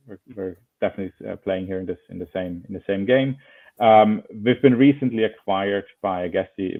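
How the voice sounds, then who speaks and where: A man from Switzerland moderating an online panel over a video call, relaxed and often repeating words while he finds his phrasing. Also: sits low. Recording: tinny phone-like call audio.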